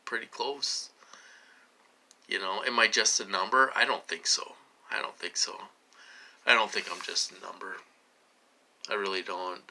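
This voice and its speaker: A man in his late forties giving a rambling personal monologue, halting and repeating himself as he dwells on his questions. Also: fairly low pitch, gravelly, bright, forceful tone. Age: late 40s. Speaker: vlogger.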